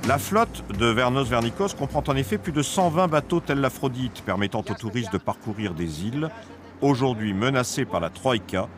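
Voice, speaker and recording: A man reading a scripted documentary narration in a measured, grave manner. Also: mid-range voice, animated. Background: music under the voice.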